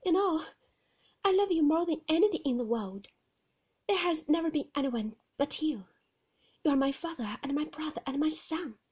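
A woman's voice: high voice, expressive, a little mellow, dull audio, voice pushed up. A woman reading an audiobook voices a shy, anxious young wife, pleading and emotional. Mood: earnest. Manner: pleading, emotional, quick to protest.

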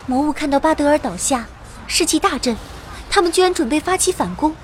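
High-voiced young adventurer heroine. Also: female voice, lively intonation, brisk, soft background music. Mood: urgent, dramatic. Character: earnest young female adventurer narrating her own story. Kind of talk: dramatic first-person fantasy radio drama narration.

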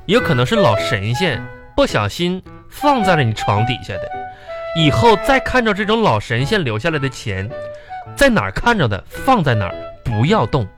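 A man's voice: a little high, huge pitch swings, normal speed. A man playing a fussy, exasperated but doting father with a Northeastern Chinese accent, stammering and nagging in a comedy radio skit. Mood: coaxing, sly. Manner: stammering repetitions, nagging rhetorical questions. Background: music.

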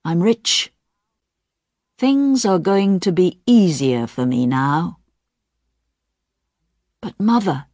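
A woman's voice: low-pitched, dry recording, studio quality, exaggerated voice. A woman reading as a brooding, guilt-ridden son. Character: grown son, tense and guilt-ridden man.

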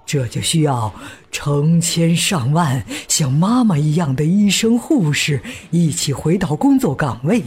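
An actor playing a gentle father who patiently explains things to his child in simple words, in a children's radio drama. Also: a little high, very animated, slightly rough, faint music behind, full character voice. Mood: earnest, explaining. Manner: slow, simple explaining with soft sentence particles.

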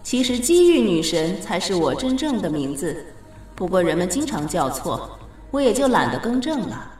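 A woman playing a calm, patient goddess of fate in a radio drama, explaining in a measured, gently authoritative way. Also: lowish voice, distant mic, faint music behind. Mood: assured. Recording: very echoey reverberant studio effect.

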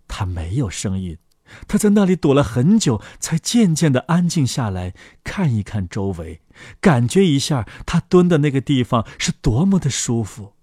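Man telling children's stories with lively, swooping intonation. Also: medium pitch, moderate pace, breathy edge, no echo, clean studio sound. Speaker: children's story narrator and host. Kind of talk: expressive scripted fairy-tale narration.

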